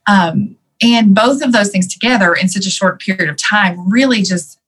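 A woman's voice: medium pitch, normal speed, fairly bright tone, husky. A woman guest in an interview, telling her life story in a candid, reflective way with many "um"s and "you know"s. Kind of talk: candid personal storytelling in conversation.

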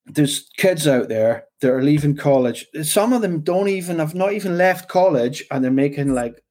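A man's voice: a little high, lively intonation, moderate pace, darkish tone. A man with a Scottish accent who talks loosely in a casual conversation as the host, often asking "do you know what I mean".

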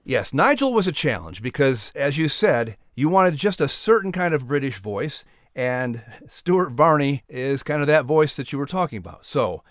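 A man's voice: medium pitch, big pitch swings, normal speed, few pauses, no room sound. Male announcer with a dry, close sound. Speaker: announcer and audiobook voice actor. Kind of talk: conversational interview.

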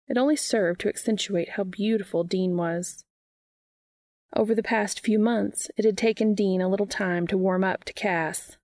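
A woman's voice: mid-range voice, darkish tone, no echo. A woman reading fan fiction aloud as narration, in the style of an audiobook.

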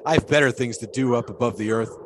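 A man's voice: mid-range voice, brisk, lightly acted. Man playing folksy philosophizing flagpole sitter, noisy ambience. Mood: proud, musing. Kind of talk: audio drama dialogue in 1920s period idiom.

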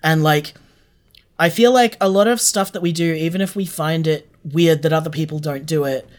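Aussie guest just chatting in an interview, earnest and blunt, mid pitched voice. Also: moderate pace. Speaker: interview guest, audio drama maker. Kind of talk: casual interview chat.

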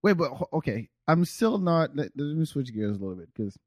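A man's voice: on the high side, big pitch swings, brisk, dry recording, clean studio sound. A man in his late thirties hosting a podcast, teasing his guest bluntly and laughing often in casual chat. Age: 30s. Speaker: podcast host.

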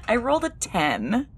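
A woman's voice: on the high side, fairly bright tone, breathy edge. A woman playing a tabletop roleplaying game, talking casually and rambling through questions and asides. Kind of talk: casual, improvised table talk.

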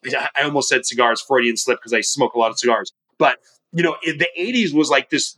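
Fast-talking energetic man, bright voice. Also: medium pitch, poor audio. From California. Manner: fast, energetic, rambling with restarts. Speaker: podcast guest, mortgage professional and coach. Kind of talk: casual interview talk.

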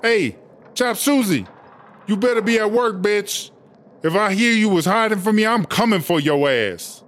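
A man's voice: a little high, normal speed, a bit bright, a little hiss or hum, close to an everyday voice. A man voicing a brash, boastful female inmate boss in a comedy audio drama, telling rambling, slangy, profane stories. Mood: menacing.

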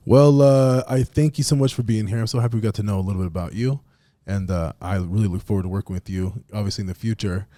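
A man hosting a podcast, chatting in a relaxed, casual way with frequent restarts. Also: on the low side, fast, dry recording. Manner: relaxed, repeats words and restarts. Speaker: podcast host. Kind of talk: casual interview chat.

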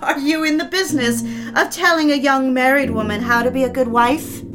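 A woman's voice: high voice, steady tone, brisk, music in the background, lightly acted. An actress playing a proud, bitter young married woman who is drunk, haughty and sarcastic, in a period audio drama. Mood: defiant, mocking. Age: young adult.

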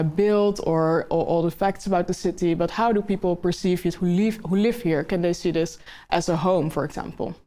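A woman's voice: on the low side, a little mellow. A woman, a curator, explaining an exhibition theme in an interview, with some fillers. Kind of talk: interview conversation.

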